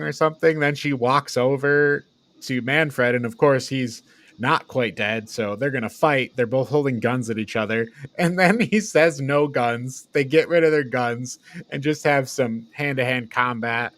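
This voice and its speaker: A man's voice: medium pitch, a little slow, fairly bright tone, no echo. A man co-hosting a podcast, chatting casually and informally as he retells and reviews a movie in loose paraphrase. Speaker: podcast host.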